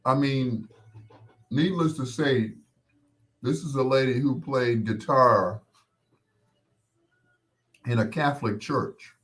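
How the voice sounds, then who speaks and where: Slow-talking older American man reminiscing. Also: medium pitch, a bit bright. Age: 60s. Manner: rambling, conversational storytelling, repeating himself for emphasis. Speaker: host. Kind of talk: casual live chat, reminiscing.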